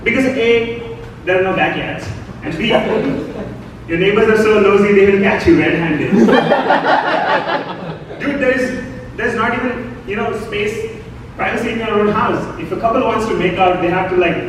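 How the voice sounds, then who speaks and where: A young man from India with an Indian accent, performing a deadpan stand-up comedy set on a club stage, audience laughter behind him. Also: high-pitched, measured pace, long pauses, far from the mic, a little hiss or hum. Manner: deadpan storytelling with acted quotes. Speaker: stand-up comic. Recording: echoey club, mic picked up from afar.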